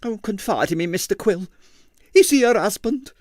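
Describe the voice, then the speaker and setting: A man's voice: high-pitched, expressive, normal speed, darkish tone, no echo, studio quality, pitched above the performer's own voice. A man voicing a prim, easily scandalised landlady in an audiobook, with an indignant, agitated manner. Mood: scandalised panic. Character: prim, scandalised landlady, shrill when alarmed. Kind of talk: audiobook character voice.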